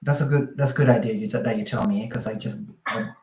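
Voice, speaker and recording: A man's voice: medium pitch, fast talker, crowd noise. A man, chatting casually over a roomy video call. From Thailand. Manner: relaxed, chatty, many fillers like "like" and "you know". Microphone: phone on a video call, no external mic.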